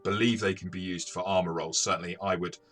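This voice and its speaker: Deep-voiced man explaining tabletop games. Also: fairly even intonation, quick pace, fairly bright tone, soft background music. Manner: hesitant, self-correcting, explanatory. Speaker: tabletop game reviewer and game master. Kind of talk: conversational review discussion.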